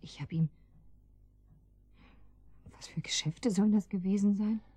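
A woman's voice: mid-range voice, a little slow, dark tone, breathy edge. A woman playing an anxious, naive housewife in a radio drama, speaking hesitantly and defensively. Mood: frightened, pleading. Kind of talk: German radio drama acting.

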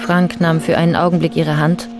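A woman's voice: deep voice, level tone, fairly quick. A woman as the composed narrator of a radio crime drama, reading literary prose in a sober, restrained manner. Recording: music bed under the voice.

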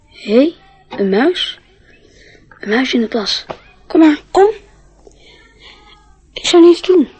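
An actress playing a dreamy, earnest young schoolboy in a radio play, slow and slightly husky. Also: female voice, sits high, expressive, a little mellow, faint music behind. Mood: laboured, then coaxing. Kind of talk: radio drama acting.

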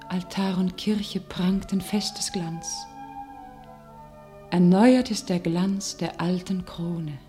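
An actress playing a young holy warrior maiden in a radio play, speaking solemn verse. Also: female voice, mid-range voice, close to an everyday voice. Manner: slow, solemn verse, hushed in soliloquy.

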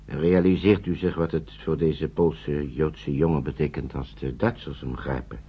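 An actor playing a kindly older gentleman who looks after foster children in a radio drama, speaking courteously and hesitantly, repeating himself. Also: a bass voice, fairly even intonation, a little slow, slightly rough, heavily acted. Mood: earnest, gentle. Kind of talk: naturalistic radio play acting. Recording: dull, lo-fi old radio play recording.

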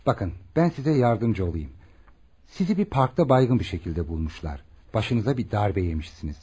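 A courteous male hospital doctor in a radio drama. His voice moves expressively up and down with a slightly rough edge. He questions and explains politely and formally. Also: on the low side, sing-song, normal speed, warm tone. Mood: gentle, probing. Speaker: actor. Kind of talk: Turkish radio theatre acting.

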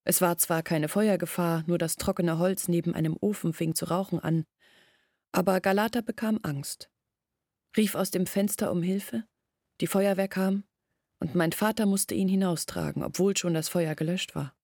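An actress playing a young village woman in a German radio drama, recalling her family's past in a measured, reflective way. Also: fairly low pitch, fairly even intonation, darkish tone, no room sound, clean studio sound. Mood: reflective, earnest. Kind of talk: German radio play acting, reflective storytelling.

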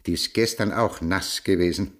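An actor playing a nosy, matter-of-fact rustic householder in a radio play, with an Austrian accent. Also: male voice, deep voice, normal speed, close to an everyday voice. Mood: curious, dry.

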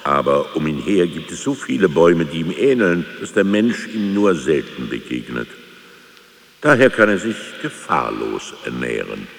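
An actor playing a grave sage who pronounces riddles in a solemn, oracular manner in a poetic radio play. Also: male voice, a bass voice, big pitch swings, normal speed, gravelly, full character voice. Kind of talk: poetic radio drama recitation. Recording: long reverb, faint music.

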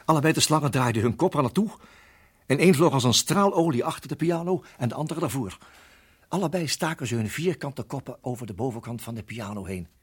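An actor playing a tense, protective husband in a radio play, telling a dramatic story in measured, suspenseful phrases that drop to hushed tones. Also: male voice, mid-range voice, lively intonation, breathy edge. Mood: urgent. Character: Bob, husband recounting a deadly snake ordeal, protective, tense. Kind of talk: radio play acting, dramatic first-person narration.

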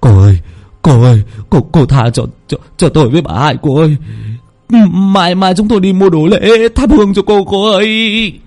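A man's voice: sits low, animated, normal speed, long pauses, warm tone, slightly rough, faint music behind, voice pushed down. A man voicing a cowardly, terrified young man who stammers and begs. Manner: stammering, pleading.

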